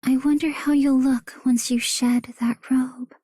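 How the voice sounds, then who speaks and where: A doting, mystical game character full of longing. Her high voice is soft, dark and very breathy, with a slow, even delivery. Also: no echo, clean studio sound. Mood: yearning, tender. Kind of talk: game character voice acting, anniversary message.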